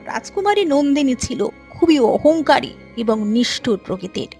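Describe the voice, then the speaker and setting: Woman narrator, high pitch, scripted storybook telling, animated moral tale, soft background music. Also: normal speed.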